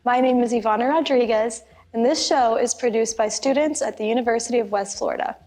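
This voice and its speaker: A young woman, a student host, reading scripted introductions in an even way. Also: fairly high pitch, brisk. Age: young adult. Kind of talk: scripted presenting.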